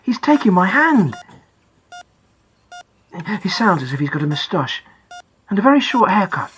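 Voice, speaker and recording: A woman's voice: mid-range voice, big pitch swings, moderate pace, a bit bright. A woman playing a candid young boy who narrates his thoughts in a first-person audiobook, in plain, childlike speech. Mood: softening.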